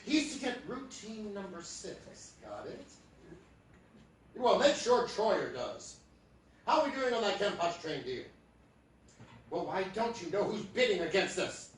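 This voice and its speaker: An actor playing a blustery secret millionaire posing as a humble lodger, hesitant and quick to bluster, in old-time radio comedy style. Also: male voice, high voice, big pitch swings, recorded from a distance. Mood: bossy, brisk. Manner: indignant, hesitant, stammering excuses. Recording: echoey theatre stage recorded from afar, noisy.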